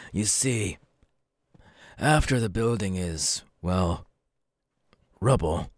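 A man performing a sly young male supervillain, lowish pitch, very dry recording, measured delivery, cool menace, ASMR audio roleplay. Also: no room sound.

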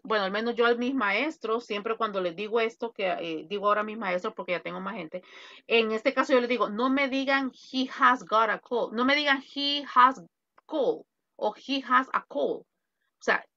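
A woman's voice: fairly high pitch, normal speed, sharp tone. A woman teaching an online English grammar class, explaining patiently and quizzing her students. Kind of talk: online grammar lesson in Spanish. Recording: dull, dry video-call audio.

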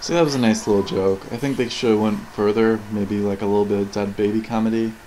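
A man's voice: lowish voice, fairly even intonation, quick pace, rough recording. A man giving casual, rambling, critical off-the-cuff commentary on video game cutscenes. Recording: game soundtrack playing behind. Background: video game cutscene audio and music.

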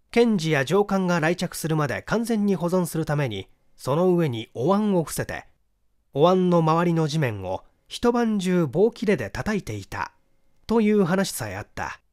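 Man narrating a mystery, lively pitch. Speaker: audiobook reader. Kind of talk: scripted literary narration of a mystery novel.

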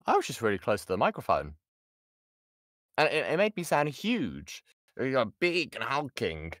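A man's voice: mid-range voice, huge pitch swings, moderate pace, fairly bright tone, no echo. A British man giving an informal lesson as a voice actor, chatty and jokey, often interrupting himself, speaking close to the mic on an online call. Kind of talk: informal lesson with audience questions.

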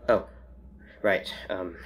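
An actor playing a terse, guarded prison scientist, clipped and reserved, in an audio drama. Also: extremely slow. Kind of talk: naturalistic audio drama acting. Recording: roomy echo, faint ambient noise.

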